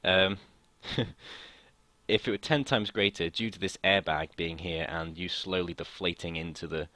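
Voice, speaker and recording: Deep-voiced young man, slightly croaky. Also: a little slow, pushed and bright, no room sound. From United Kingdom. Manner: hesitant, restarts sentences, informal asides. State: slightly croaky from long talking. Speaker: student tutor. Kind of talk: casual explanatory lesson.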